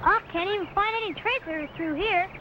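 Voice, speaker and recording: A woman's voice: extremely high pitch, a little fast, dull audio. A woman playing an eager, earnest young boy who dreams of exploring, in an old-time radio adventure. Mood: anxious. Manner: earnest, protesting, boyish. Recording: slightly noisy old radio recording.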